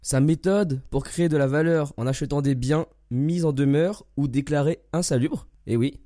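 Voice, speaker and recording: A hoarse man hosting a podcast, who reads a welcoming scripted intro and then interviews a guest. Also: on the high side, moderate pace, dry recording, clean studio sound. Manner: welcoming, steady scripted delivery. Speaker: podcast host.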